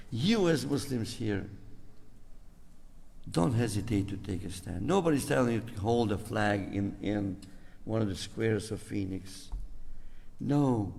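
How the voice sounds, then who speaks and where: An older man from Albania with an Albanian accent, speaking as an imam in a rambling, storytelling way to a community gathering, drifting into asides and gentle exhortation. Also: mid-range voice, gravelly. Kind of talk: informal talk to a community gathering. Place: mosque community hall. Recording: echoey hall reverb.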